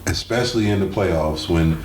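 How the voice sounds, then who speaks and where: A man hosting a sports talk show, giving game analysis in a halting, deliberate way. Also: low voice, darkish tone. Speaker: sports radio host. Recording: roomy echo, clear background noise.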